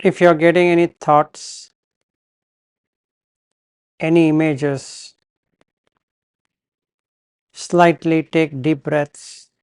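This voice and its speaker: A man guiding a meditation as a teacher, giving calm, measured instructions in short phrases with pauses between them. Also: fairly high pitch, clean tone, dry recording, clean studio sound.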